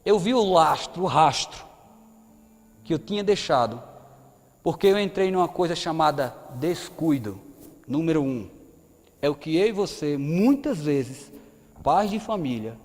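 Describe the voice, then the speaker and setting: A man's voice: high voice, darkish tone. A man in his thirties with a northeastern Brazilian accent, preaching in a conversational style and often asking the audience questions. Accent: Brazilian Portuguese, northeastern. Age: 30s. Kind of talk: sermon. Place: church hall. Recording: long hall reverb.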